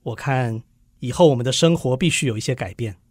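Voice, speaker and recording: An actor playing a patient, dutiful husband in a literary radio drama, speaking in a soothing, earnest, sighing way. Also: male voice, mid-range voice, brisk, no room sound, clean studio sound, natural voice. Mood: resigned, grave. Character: patient, dutiful husband calming his panicking wife.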